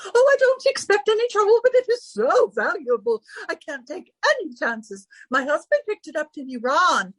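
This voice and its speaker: A woman's voice: extremely high pitch, very animated, normal speed, few pauses, a bit bright, no echo, exaggerated voice, poor audio. A woman playing a vain, flirtatious wealthy society hostess, gushing and affected, in 1940s radio style. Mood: grandly excited. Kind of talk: recreated 1940s radio comedy-drama acting.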